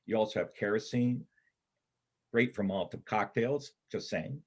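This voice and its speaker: A man's voice: on the low side, moderate pace. A man acting a guarded, wry soldier who survived a war, in a church reader's theater play.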